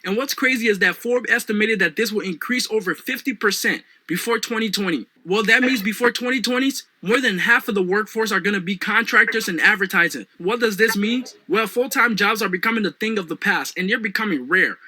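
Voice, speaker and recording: A young man with a Liberian accent giving an energetic motivational sales talk as a business coach, often calling out to "guys". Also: brisk, hardly any pauses. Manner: pitchy, repeats "guys" and "right now".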